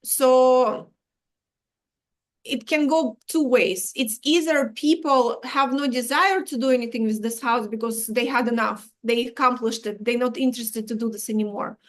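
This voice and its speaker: A woman talks slowly as an interview guest. She pauses, restarts her sentences and drops small words. Her voice sits a little high. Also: steady tone. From Ukraine. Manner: halting, many restarts, drops articles. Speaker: Vedic astrologer guest. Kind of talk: explanatory interview talk.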